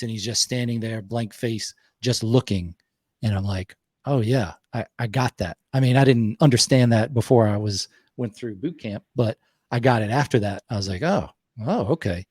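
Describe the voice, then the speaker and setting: A man with a Southern US accent hosting a podcast, talking reflectively and unhurriedly, with pauses. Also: medium pitch, a little mellow, breathy edge, no echo, studio quality. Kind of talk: casual conversation.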